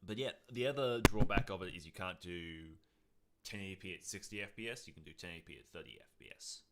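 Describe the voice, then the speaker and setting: A man co-hosting a podcast, chatting casually in an animated, jokey way. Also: low-pitched, quick pace, very noisy. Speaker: podcast co-host. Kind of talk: casual chat.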